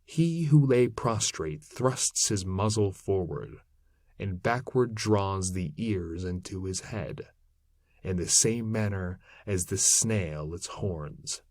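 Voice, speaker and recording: A man reading classic epic verse aloud as a narrator, in a measured, declamatory manner. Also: deep voice, lively intonation, a little mellow, dry recording, clean studio sound. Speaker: reader of classic poetry. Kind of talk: literary poetry narration, read aloud.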